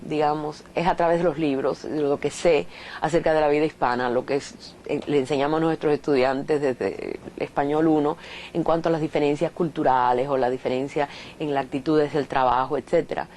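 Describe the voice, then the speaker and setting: A woman's voice: low-pitched, darkish tone, a little hiss or hum. A middle-aged Cuban woman professor answering interview questions thoughtfully, with restarts and rhetorical questions, in a Cuban accent. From Cuba.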